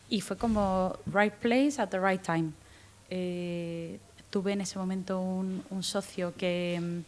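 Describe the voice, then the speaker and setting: Low-voiced Guatemalan woman with faint accent. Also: slight background noise. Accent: light Guatemalan, mostly Spanish from Spain. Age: 30s. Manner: thoughtful, many restarts and fillers, lively rises when retelling. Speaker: guest, in-house lawyer. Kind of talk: interview answers.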